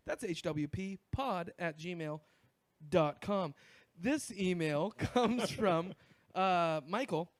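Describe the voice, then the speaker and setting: A man from the US Northwest with a fairly high voice, rambling through a casual comedy podcast segment. He stumbles and restarts often, and his voice sits close to a dry, dead mic. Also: lively intonation, takes it slowly, no room sound. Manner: loose, rambling, many fillers and restarts. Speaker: podcast co-host.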